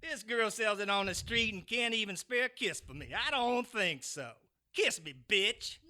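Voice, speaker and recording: A man's voice: high-pitched, animated, normal speed, sharp tone, exaggerated voice. A man playing a crude, leering street bully in an audio drama, slangy and swaggering.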